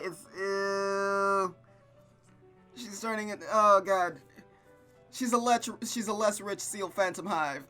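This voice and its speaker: A gaming host reading a visual novel aloud with casual, rambling, expressive commentary. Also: medium pitch. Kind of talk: casual game commentary and read-aloud narration.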